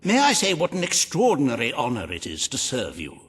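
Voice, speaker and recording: A man's voice: mid-range voice, wildly swooping pitch, fairly quick, a bit bright. An actor playing a fawning, pompous royal aide in a video game, flowery and pleased with his own wit. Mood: fawning, self-satisfied. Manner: obsequious, flowery, theatrical.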